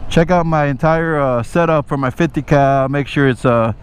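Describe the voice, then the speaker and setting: A man's voice: fairly high pitch, moderate pace, clean tone. A man telling a story in a casual, conversational way, swearing freely and often checking in with the listener, as he vlogs from a motorcycle. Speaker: motovlogger and army veteran telling stories. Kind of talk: casual rambling storytelling to camera while riding. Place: outdoors, riding a motorcycle in traffic. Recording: engine and traffic noise behind voice. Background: motorbike engine and road traffic.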